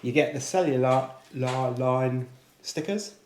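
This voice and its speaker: A man's voice: medium pitch, a little slow. A man presenting a casual product unboxing, chatting informally with British slang. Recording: echoey room, faint background noise.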